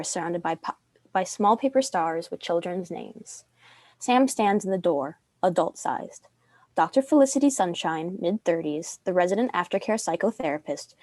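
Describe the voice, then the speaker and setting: A woman's voice: fairly low pitch, expressive, normal speed, a little mellow, no echo. A young woman reading stage directions as the narrator of a dramatic script. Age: young adult.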